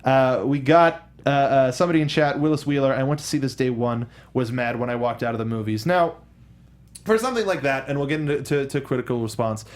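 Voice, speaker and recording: Halting, enthusiastic man talking film. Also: medium pitch. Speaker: co-host of a film discussion show. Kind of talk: casual film commentary.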